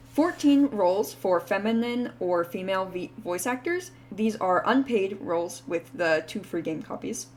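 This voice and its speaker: A woman's voice: on the low side, slight background noise. A woman VTuber announcing voice acting auditions for her indie game, reading the terms in a casual way with lots of "like" fillers. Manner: casual, filler-heavy, reads terms out quickly. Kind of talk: casting call announcement.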